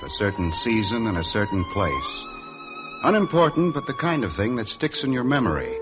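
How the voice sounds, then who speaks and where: Young north american ad guy, low lively voice, wistful, old 50s radio play with music. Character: wistful young advertising man recalling a summer holiday. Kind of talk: 1950s radio drama narration and dialogue. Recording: 1950s radio drama, music behind.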